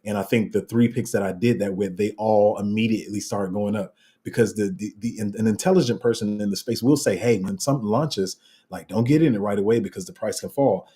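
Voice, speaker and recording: A man with a deep, rumbling voice hosting a casual livestream, reading out viewer comments and rambling along with sudden excited jumps in pitch and a slight cough. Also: fairly quick. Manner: rambling and animated, voice jumping up when excited. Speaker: crypto livestream host.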